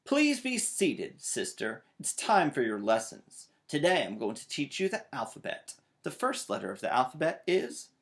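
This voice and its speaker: A man's voice: a little high, huge pitch swings, moderate pace, hardly any pauses, a bit bright, heavily acted. A man voicing a bossy, braggy young girl bear in a storybook. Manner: bossy, emphatic.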